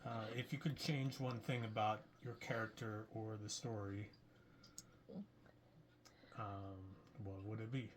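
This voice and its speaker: Man, host and writer. Calm, soft-spoken, low voice, faint noise behind. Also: unhurried, pauses often. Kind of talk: interview questions.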